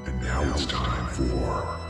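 A man's voice: extremely low pitch, flat delivery, takes it slowly. A man reading a scripted, spooky introduction as host of an old radio showcase. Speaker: podcast host introducing an episode. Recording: heavy echo, distant, loud eerie music.

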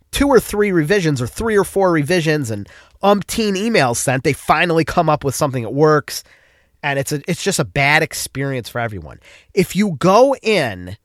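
A man's voice: fairly high pitch, fairly bright tone, no room sound, clean studio sound. A man with a stuffed-up nose from a cold, hosting a casual solo podcast and rambling with frequent restarts. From upstate New York. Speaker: podcast host.

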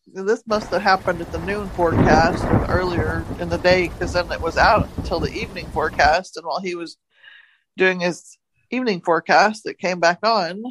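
A woman's voice: lowish voice, steady tone, normal speed, background noise. A woman co-hosting a podcast, chatting casually and retelling odd news stories in a folksy, joking way. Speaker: podcast co-host.

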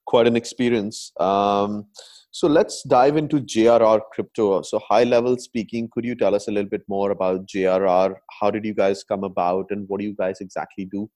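Relaxed, conversational man as host. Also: fairly low pitch, moderate pace. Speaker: podcast host. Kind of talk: podcast interview hosting.

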